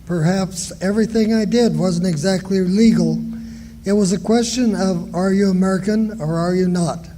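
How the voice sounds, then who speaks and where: Man playing defiant small-town sheriff, high voice, mellow tone, declamatory, radio drama, faint noise. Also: moderate pace, natural voice, low-quality recording. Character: unrepentant, defiant male county sheriff on trial. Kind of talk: radio play acting. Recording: faint background noise, live stage recording.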